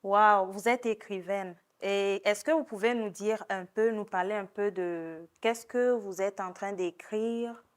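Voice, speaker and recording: A woman's voice: medium pitch, steady tone, measured pace, few pauses. A woman hosting a TV interview, addressing her guest politely and formally. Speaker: TV show host.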